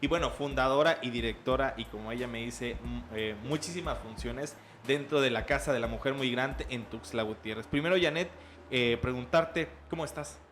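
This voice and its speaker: A man's voice: medium pitch, moderate pace, fairly bright tone, slight background noise. A man hosting a podcast interview as a reporter, speaking hesitantly with frequent fillers. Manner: hesitant, many "eh" and "pues" fillers.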